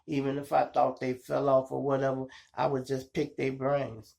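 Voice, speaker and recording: A man with a Chicago accent and a gravelly voice, telling street stories casually to his viewers in slangy, profane talk. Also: mid-range voice, normal speed. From Chicago, United States. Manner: animated retelling, slangy, profane, acts out dialogue and sound effects. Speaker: storytelling podcast host.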